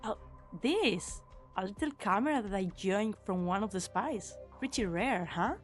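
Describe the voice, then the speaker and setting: A woman's voice: mid-range voice, expressive, measured pace, faint music behind, lightly acted. A woman playing a brash, cocky, menacing young female mercenary in an amateur game fan dub, with a sardonic, teasing manner. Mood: sardonic, casual. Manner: sardonic, foul-mouthed, teasing.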